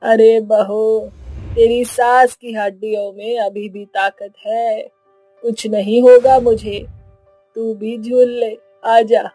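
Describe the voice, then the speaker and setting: A woman's voice: a little high, level tone, slow, dark tone, slightly rough, dry recording, faint music behind, low-quality recording. A woman voicing a playful, childlike elderly mother-in-law in a cartoon, drawling and exclamatory. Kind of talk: Hindi cartoon voice acting.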